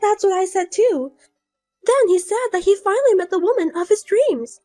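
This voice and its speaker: A woman's voice: very high-pitched, moderate pace, warm tone, faint music behind, voice pushed up. A woman voicing a scheming younger sister who taunts and boasts in a sing-song way. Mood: smug.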